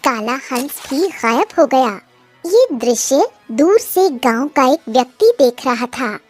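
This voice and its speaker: A woman's voice: high voice, very animated, slow pace, faint music behind. A woman narrating a scripted children's cartoon story.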